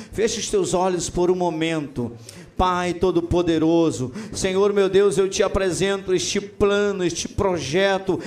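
Man, rather high voice, coaxing offering prayer, church hall. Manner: gentle, coaxing, addresses listeners as "querido".

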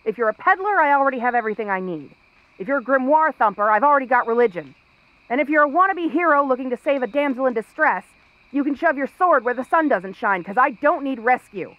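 A woman's voice: high-pitched, lively intonation, fast, no room sound, muffled recording. A woman playing a cranky, sarcastic exiled princess cursed into a frog girl, in a fantasy audio roleplay. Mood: irritated, bratty. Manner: snappish, sarcastic, tsundere tomboyish bluster. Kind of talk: fantasy ASMR roleplay acting.

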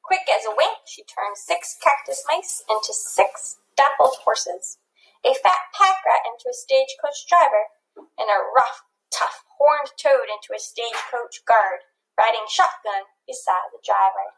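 A woman narrating a children's storybook in an expressive read-aloud style. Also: on the high side, a little slow, creaky, low-quality recording.

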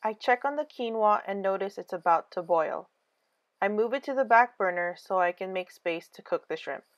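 A woman giving a scripted cooking voice-over, calm clear step-by-step instructions for a home recipe. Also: mid-range voice, moderate pace, highs cut off. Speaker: cooking channel host.

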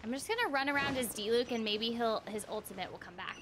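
A woman's voice: a little high, fast, background noise. A young woman chatting casually and informally on a game livestream, with a bubbly manner, close on the mic. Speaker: game streamer and voice actress. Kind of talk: casual livestream chat over gameplay. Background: game music.

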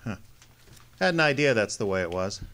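An actor playing a tough, wry, unflappable private detective with clipped, sardonic delivery in an old-time radio drama. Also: male voice, lowish voice, expressive, fairly quick, a bit bright. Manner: clipped, hard-boiled, sardonic.

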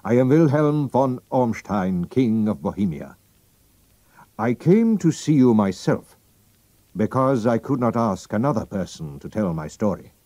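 A man's voice: on the low side, sing-song, unhurried, mellow, no echo, voice pushed up. A man voicing a proud, anxious king who speaks formally and commandingly. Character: German-accented royal visitor, proud king, anxious.

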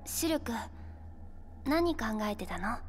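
Gentle, high-voiced young heroine. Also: female voice, very animated, takes it slowly, big-room sound, slight background noise. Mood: probing. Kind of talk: Japanese video game cutscene acting.

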